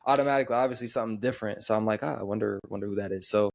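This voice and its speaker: A young man from the western United States co-hosting a podcast, talking in a relaxed, easygoing way with frequent pauses. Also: lowish voice, gravelly, no room sound, clean studio sound. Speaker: podcast co-host. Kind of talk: casual chat.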